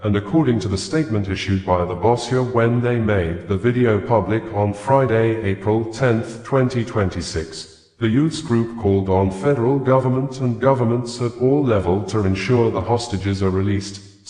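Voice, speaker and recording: A man reading scripted news in a formal, measured manner. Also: lowish voice, darkish tone, reverberant. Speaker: news reader.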